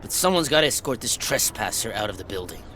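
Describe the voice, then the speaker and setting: A man's voice: lowish voice, sing-song, fast talker, a bit bright, slight background noise. An actor playing a suspicious male security guard, talking in a curt, threatening way.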